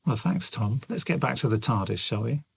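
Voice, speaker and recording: Gravelly British man interviewing. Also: mid-range voice, lively intonation, a little fast, no echo, studio quality. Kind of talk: conversational interview, reading out fan questions.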